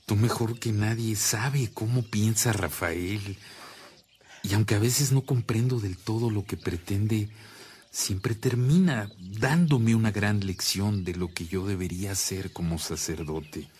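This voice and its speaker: An actor with a Mexican accent playing an earnest, reflective priest in a radio drama, speaking in a measured, solemn way. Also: male voice, on the low side, a little mellow, a little hiss or hum, natural voice. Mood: troubled, admiring. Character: earnest, reflective Mexican priest, calming his worried sister. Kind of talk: Spanish-language radio drama acting.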